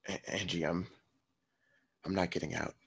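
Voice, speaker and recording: Injured trapped survivor, male, played by an actor at a table read: low gravelly voice, sardonic, stubbornly protective. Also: fairly even intonation, full character voice. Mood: weak, resigned. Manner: wry, blunt, halting through pain. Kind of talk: acted table read of a film script.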